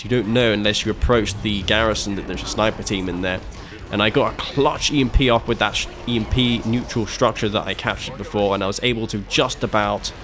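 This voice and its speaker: A young man giving casual, animated play-by-play commentary on a strategy match he played, with frequent fillers and restarts. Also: fairly low pitch. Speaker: video game caster commentating his own match.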